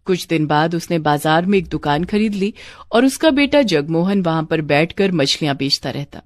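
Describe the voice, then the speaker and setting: A woman's voice: low-pitched, lively intonation, normal speed, no room sound, studio quality. A woman narrating a scripted cartoon story as a storyteller.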